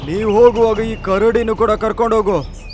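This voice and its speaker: A man's voice: high-pitched, steady tone, normal speed, darkish tone, soft background music, lightly acted. A man playing a proud lion king, commanding and defiant, in a cartoon story. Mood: scornful.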